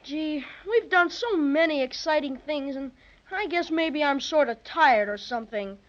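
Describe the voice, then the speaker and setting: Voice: sits high, animated, measured pace, a bit bright. A young performer playing an earnest, polite little boy with gee-whiz delivery, in 1930s radio style. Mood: wistful. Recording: muffled vintage 1930s radio recording.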